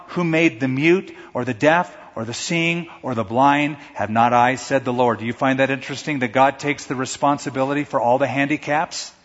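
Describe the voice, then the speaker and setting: A man with a somewhat high, husky voice preaches in a conversational, animated way, now and then mimicking a stammer. His words ring with the long reverb of a large hall, and the sound is slightly dull. He delivers the sermon as a pastor to his congregation.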